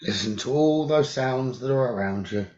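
A man leading a guided meditation in a slow, soothing instructional style, speaking in measured, hushed short phrases with pauses. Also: mid-range voice, muffled recording.

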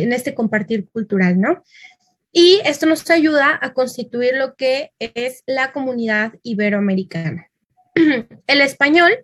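A woman's voice: high-pitched, a bit bright, no echo. A Mexican woman giving an informal lecture, talking conversationally and thinking aloud with small fillers.

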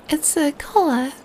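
A woman playing a gentle, doting female vampire in a soft-spoken, tender ASMR roleplay. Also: high-pitched, slight background noise. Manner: tender, slow, hushed, softly giggling delivery. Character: gentle, doting female vampire pampering her adopted catgirl lover.

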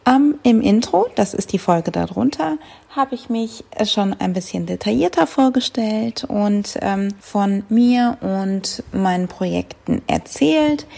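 A woman in her late thirties hosts her own podcast, talking in a relaxed, chatty way full of fillers. Her voice is mellow with a gravelly edge, and she takes her time. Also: medium pitch. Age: 30s. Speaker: podcast host. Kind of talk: casual solo podcast talk.

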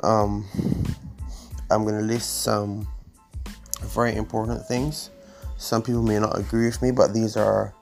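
Congested male podcast host, low flat gravelly voice, halting with frequent ums. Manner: halting, many ums and restarts. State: congested from allergies. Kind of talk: solo motivational podcast talk.

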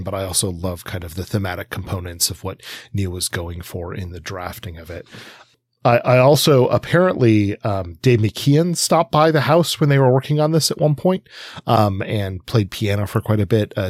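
Male co-host for music discussion, slightly deep voice, thoughtful and halting, close dry recording. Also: dry recording. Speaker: podcast co-host. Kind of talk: conversational music review discussion.

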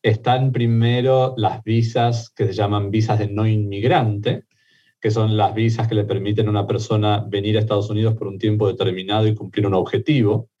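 A man's voice: on the low side, level tone, moderate pace, mellow, muffled recording. A middle-aged man with an Argentine accent, a guest who explains methodically in an interview, with frequent fillers and restarts. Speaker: immigration lawyer guest.

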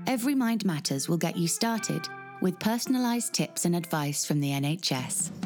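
A British woman reading a scripted public health advert as a voice-over. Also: fairly low pitch.

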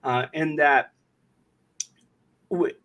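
A man with a fairly high voice talks casually as an interview guest. He is a bit hesitant and modest in his delivery, feeling his way through his answers. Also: extremely slow, a bit bright, lo-fi sound. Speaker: guest, audio drama writer and voiceover worker.

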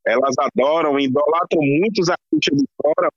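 A man from Rio Grande do Norte with a Northeastern Brazilian potiguar accent, giving long, passionate answers as a guest bass player. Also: sing-song, moderate pace, husky, dry recording, highs cut off. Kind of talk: interview answers.

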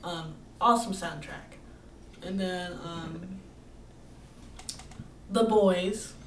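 Female co-host, soft and hesitant, distant mic, faint hiss. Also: lowish voice, drawn-out words. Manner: soft-spoken, hesitant, many "um"s. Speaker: podcast co-host. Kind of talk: casual podcast chat. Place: indoor room. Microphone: set far from the mouth. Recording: echoey room, far from the mic, faint hiss.

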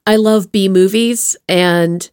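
A woman's voice: medium pitch, steady tone, slow, darkish tone, smooth. A woman hosting a casual podcast interview with an effusive, playful way of talking. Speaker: podcast host.

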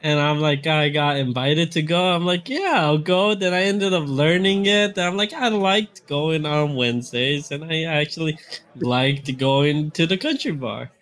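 A man's voice: a little high, a little slow, fairly bright tone. A young man co-hosting a casual podcast, stumbling over his words and giggling as he talks. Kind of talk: casual chat.

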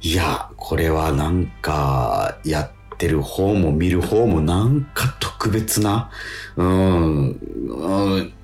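A man telling stories as a radio host in casual chat, excitable and colloquial, often repeating his agreements. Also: low-pitched, slow, echoey room, faint music behind. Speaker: radio show DJ and stage actor.

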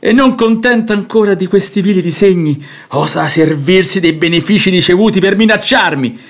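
Radio drama, duped middle-aged father, agitated and declamatory, voice pitched very high for a man. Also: moderate pace. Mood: indignant, bitter. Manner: declamatory, aggrieved. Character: agitated, gullible father and master of the house, betrayed. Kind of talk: theatrical radio drama acting.

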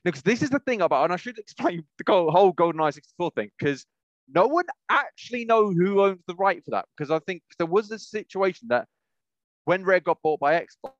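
A man's voice: high-pitched, sing-song, a little fast, dry recording. British man, rambling, in dull lo-fi audio. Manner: rambling, self-correcting. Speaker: podcast co-host. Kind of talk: casual gaming podcast chat.

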